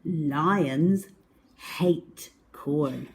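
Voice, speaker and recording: A woman reading a picture book to young children as a storyteller, with dramatic pauses and emphasis, speaking directly to her listeners. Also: low-pitched, darkish tone.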